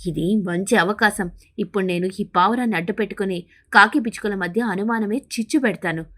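A woman voicing a jealous, scheming parrot in an animated bird fable, sly and wheedling in manner. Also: mid-range voice, fairly quick, lightly acted.

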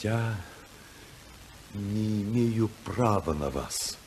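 A man's voice: fairly low pitch, expressive, extremely slow, a little hiss or hum, exaggerated voice. An actor playing an idealistic congressman torn by love, earnest and oratorical in a 1950s radio drama style. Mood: regretful, tender. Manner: earnest, oratorical, swinging from hushed to impassioned.

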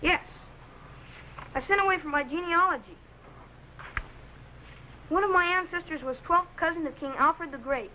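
50s tv snooty teen boy space sidekick, high voice, earnest, bit of hiss. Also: moderate pace, pauses often, pushed and bright, full character voice, poor audio. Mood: airy, self-important. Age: young teenager, boy. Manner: pompous, earnest, carefully enunciated. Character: self-important teenage boy assistant to space explorer. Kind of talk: 1950s children's TV sci-fi acting. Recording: vintage film soundtrack, faint noise.

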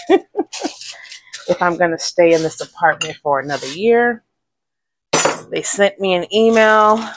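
Slow, easygoing woman chatting while cooking. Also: fairly low pitch, a little hiss or hum, poor audio. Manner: relaxed, rambling, laughs to herself. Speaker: vlogger. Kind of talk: casual cook-along chat. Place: small home kitchen, indoors. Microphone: clip-on lapel mic.

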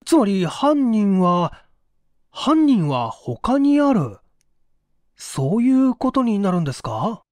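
A man voicing a guarded, melancholy gentleman who answers hesitantly and politely in a story reading. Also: high-pitched, sing-song, takes it slowly, no echo, studio quality, full character voice. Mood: puzzled. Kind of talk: acted dialogue in story reading.

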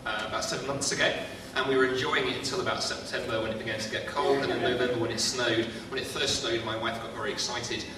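Quick witty Englishman, roomy hall. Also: mid-range voice, steady tone. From England. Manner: brisk, witty storyteller. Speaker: panelist, apologist. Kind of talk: jokey self-introduction. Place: large lecture hall. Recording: echoey hall from far off, noisy.

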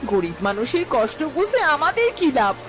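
A woman's voice: a little high, very animated, normal speed. A woman voicing a proud, scolding village wife in a folk-tale cartoon. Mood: defiant. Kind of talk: dramatic cartoon dialogue. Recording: music and rain under dialogue.

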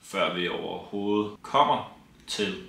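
A man's voice: fairly low pitch, sing-song, gravelly, pushed and bright. A young man speaking openly and plainly to camera in a casual vlog, seated beside his partner. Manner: plain, open, a bit wry. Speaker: vlogger, partner. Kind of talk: casual personal talk to camera. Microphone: camera on tripod, seated. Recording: roomy echo.

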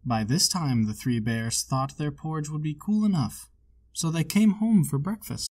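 A man with a slightly high voice narrates a children's storybook. He reads aloud in a measured, expressive storytelling way. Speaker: storybook reader.

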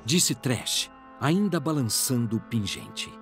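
A man's voice: medium pitch, lively intonation, slow, music in the background. A man with a Brazilian accent giving dramatic scripted narration for a fantasy audio drama.

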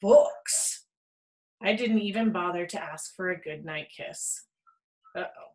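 A woman reading a children's picture book aloud in an expressive storytelling style. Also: lowish voice, normal speed. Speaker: storybook reader.

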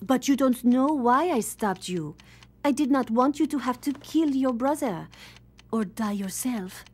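An actress playing a composed, businesslike young woman who arranges fights in a video game, speaking in measured, formal phrases. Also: fairly high pitch, lively intonation, husky. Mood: earnest. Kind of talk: video game cutscene acting.

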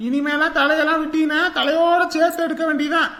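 A performer plays a bossy doctor fed up with a noisy patient in a comic skit. The voice is bright and forceful. The delivery is scolding and sarcastic. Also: high-pitched, little pitch movement, quick pace. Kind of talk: comic family skit acting.

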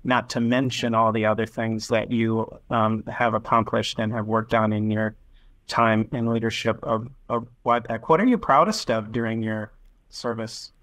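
A man's voice: lowish voice, steady tone, moderate pace, fairly bright tone, no room sound. A man in his sixties hosting a video call interview, talking in a rambling, conversational way with asides and restarts. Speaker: podcast host. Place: home office with bookshelves. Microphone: video call webcam.

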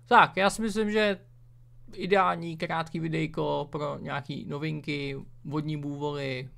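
A man's voice: a little high, fairly even intonation, creaky, sharp tone. A man casually talking through a web page about a farming game, rambling with many fillers and slang. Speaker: gaming video creator. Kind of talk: casual screen-reading commentary.

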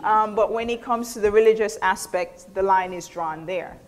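A middle-aged woman lecturer giving a thoughtful spoken explanation to an audience, often pausing mid-phrase as she picks her words. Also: medium pitch. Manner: thoughtful, halting, frequent pauses mid-phrase. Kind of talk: explanatory answer to an audience question. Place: indoor hall, speaking to an audience. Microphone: clip-on lapel mic.

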